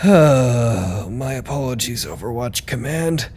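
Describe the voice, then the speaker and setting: An actor playing a weary, earnest staffer who dictates a dry, self-deprecating work log in an audio drama. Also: male voice, medium pitch, animated, slow pace, darkish tone, slight background noise. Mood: drained, rueful.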